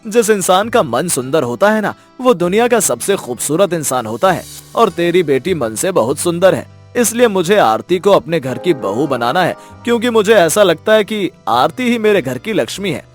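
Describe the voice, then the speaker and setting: Cartoon: man doing a persuasive middle-aged dad, earnest, slightly high, soft music behind. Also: big pitch swings, moderate pace. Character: warm-hearted middle-aged father arranging his son's marriage.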